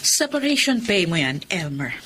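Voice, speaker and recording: A woman's voice: fairly low pitch, sing-song, moderate pace, fairly bright tone, a little hiss or hum. An actress playing a firm, domineering middle-aged mother and company owner in a Filipino melodrama. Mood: cold, blunt. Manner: firm, imperious.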